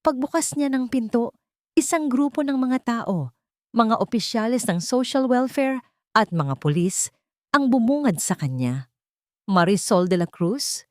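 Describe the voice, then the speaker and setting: A woman's voice: fairly high pitch, expressive, a little slow, no echo, clean studio sound. A woman narrating an audiobook with dramatic, scripted delivery.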